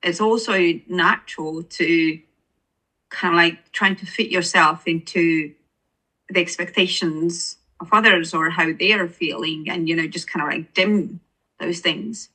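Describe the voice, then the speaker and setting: A woman's voice: fairly low pitch, fairly even intonation, measured pace, pushed and bright, poor audio. A woman with an Estonian accent, an author speaking as an interview guest, earnest and searching for words with many restarts. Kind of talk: reflective interview conversation.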